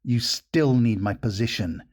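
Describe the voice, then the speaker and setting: A man's voice: medium pitch, unhurried, darkish tone, close to an everyday voice. A man playing a proud, easily affronted noble bridegroom in an audio drama, with haughty formality. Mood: indignant, scornful.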